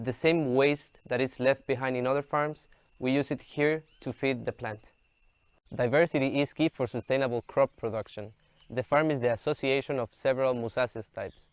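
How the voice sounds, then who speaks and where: A young man, reading a scripted video narration in a steady, explanatory way. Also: mid-range voice, smooth, dry recording. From El Salvador. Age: young adult. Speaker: student presenter.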